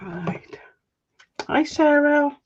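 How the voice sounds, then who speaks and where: A Welsh woman chatting casually while she crafts, friendly and quick to laugh. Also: high voice, expressive, highs cut off, a little hiss or hum. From Wales.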